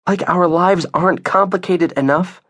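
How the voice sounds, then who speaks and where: A man's voice: high voice, sing-song, unhurried, husky, no room sound, studio quality, voice pushed up, heavily acted. A man voicing an exasperated, worried, sceptical mother with an emphatic, protesting manner, as in an audiobook.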